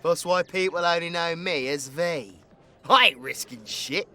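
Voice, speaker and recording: A man's voice: a little high, a little slow, sharp tone. A man playing a cocky, crude, flirtatious British supervillain in an audio roleplay, brash, teasing and theatrical with slangy, sweary talk. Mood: low, reassuring.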